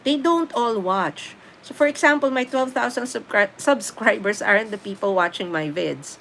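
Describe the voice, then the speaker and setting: A woman's voice: a little high, animated, normal speed, creaky, fairly bright tone, slight background noise, rough recording. A middle-aged Filipino woman with a Filipino accent, whose first language is Tagalog, hosting a casual live stream where she reads viewer comments aloud and answers them with easy laughs. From Philippines.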